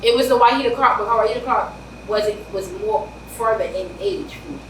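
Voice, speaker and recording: An animated woman with a New York accent chats loudly as an interview guest, words tumbling out in quick bursts. Her voice sits a little high. She sounds distant in a roomy space with a faint hiss. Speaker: author guest. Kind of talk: casual interview chat.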